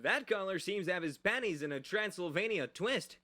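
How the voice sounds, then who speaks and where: A cocky young radio DJ with a bright, forceful voice and very animated pitch. He delivers theatrical, wisecracking late-night patter, full of sarcasm and puns, in a radio drama. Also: a little fast. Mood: showy, teasing. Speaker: radio host.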